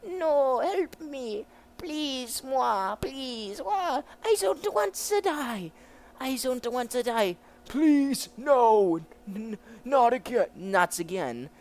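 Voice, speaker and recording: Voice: sing-song, slow, hardly any pauses. A comic reader voicing terrified prisoners who whimper and beg for their lives. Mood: terrified pleading. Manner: high, whimpering, pleading. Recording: clear background noise.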